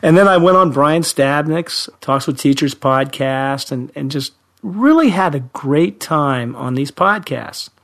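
A man's voice: a little high, lively intonation, measured pace, few pauses, a bit bright. A man, a friendly, self-deprecating podcast guest with a young-sounding voice who laughs often. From Central Ohio, USA. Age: 50s. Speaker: high school teacher, guest. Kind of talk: podcast interview.

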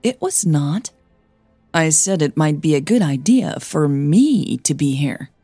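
A woman as defensive daughter, breathy and slow. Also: sits low, animated, warm tone, no echo, soft background music, full character voice. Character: defensive, uneasy grown daughter of a wealthy mother.

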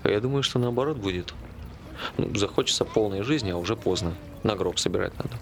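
An actor playing a calm, practical man who speaks laconically and asks probing questions in a naturalistic stage play. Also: lowish voice, fast, gravelly, a little hiss or hum. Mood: calm, wry.

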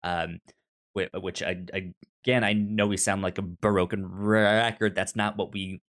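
A man co-hosting a podcast, casually discussing a film in a halting way, often repeating and restarting his words. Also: sits low, little pitch movement, fairly bright tone. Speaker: podcast co-host reviewing a film.

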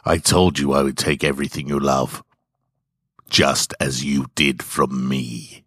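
A man voicing a huge, grotesque, vengeful butcher in a horror story, menacing and deliberate. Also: very deep voice, little pitch movement, fairly bright tone, husky, no room sound, clean studio sound, full character voice. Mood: cold menace.

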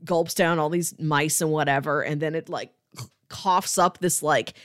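A woman's voice: low-pitched, steady tone, a little slow, no room sound, studio quality. A woman co-hosting a podcast, talking casually about books and explaining through listed examples. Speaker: podcast co-host. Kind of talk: casual book discussion.

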